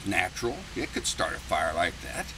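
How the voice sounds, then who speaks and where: A man acts out a smug, evasive neighbor in a homespun fairy tale, full of false concern and self-satisfied boasting. The pitch swings in a lively way, and the voice sits back from the mic amid clear noise. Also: normal speed. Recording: noisy, distant mic with background rumble.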